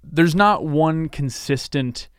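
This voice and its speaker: A man's voice: a little high, slow pace, clean tone, dry recording, studio quality. A man hosting a radio interview in a casual, wordy way, feeling his way through his phrasing. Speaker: radio show host.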